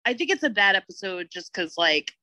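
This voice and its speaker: A woman's voice: medium pitch, big pitch swings, a little fast, sharp tone, dry recording. A woman in a casual podcast discussion, explaining her opinions in loose, conversational phrases full of filler "like". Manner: conversational, peppers speech with "like".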